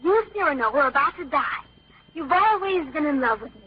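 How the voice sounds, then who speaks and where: Very high-pitched affected teenage girl. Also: expressive, brisk. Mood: self-important. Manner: affected, theatrical. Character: affected, coquettish teenage girl fancying herself an actress. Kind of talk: old-time radio drama acting. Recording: dull, faintly noisy old radio recording.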